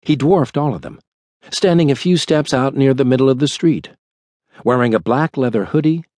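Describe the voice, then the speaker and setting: A man reading a thriller audiobook in a measured, dramatic storytelling manner. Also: medium pitch, darkish tone, no room sound. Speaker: audiobook narrator. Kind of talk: scripted thriller audiobook narration. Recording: dry studio recording.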